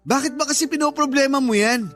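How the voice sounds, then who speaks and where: An actor playing a proud, insecure working-class young husband who argues defensively in a radio drama. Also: male voice, very high-pitched, fast talker, fairly bright tone. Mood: dismissive. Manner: dismissive, defensive, argumentative. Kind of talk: Tagalog radio drama acting. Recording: music bed behind the voice.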